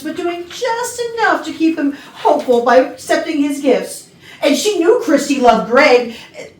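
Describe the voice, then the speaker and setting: A woman playing a contemptuous, sarcastic, ambitious office villain in a murder mystery comedy reading. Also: high-pitched. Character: scornful ambitious female office worker exposed as poisoner. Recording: echoey room, far from mic.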